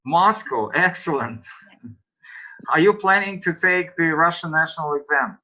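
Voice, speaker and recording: A man with a bright, fairly high voice leads an online English lesson, putting slow, patient questions to his students. The audio sounds dull and lo-fi. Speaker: English teacher.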